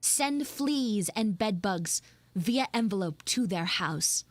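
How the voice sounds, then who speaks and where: A woman voicing a defensive, flustered tsundere anime schoolgirl who acts mean but is secretly soft, in an improvised livestream roleplay. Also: mid-range voice, lively intonation, dry recording. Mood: mock outraged. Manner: defensive, pouty, halting and repetitive. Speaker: livestreamer and VTuber voice actress. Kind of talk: improvised live audio roleplay with chat asides.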